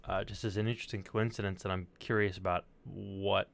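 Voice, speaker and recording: Male stream host, lowish voice, informal interviewer who phrases questions loosely and swears. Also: level tone, a little fast, creaky. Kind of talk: casual interview chat.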